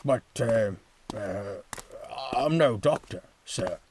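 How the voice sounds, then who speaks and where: A man's voice: lowish voice, expressive, slow, darkish tone, a little hiss or hum. A man voicing a bumbling, timid male fireman who hesitates and fumbles his words. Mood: fumbling. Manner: bumbling and hesitant, full of uhs. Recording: music playing behind.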